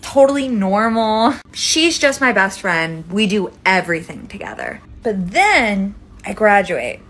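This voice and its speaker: A woman's voice: medium pitch, big pitch swings, a little slow, fairly bright tone. A woman in her twenties with an American accent, telling a personal story casually and emphatically. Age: 20s. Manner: dramatic, emphatic, peppered with "like" and swearing. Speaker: storytime video creator.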